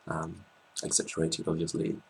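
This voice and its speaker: A man in his twenties with a French accent, chatting casually as a guest, hesitant with many pauses and restarts, recorded on a laptop webcam in a small room. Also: rumbling low, monotone, vocal fry, husky. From France. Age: 20s. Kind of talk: casual interview chat.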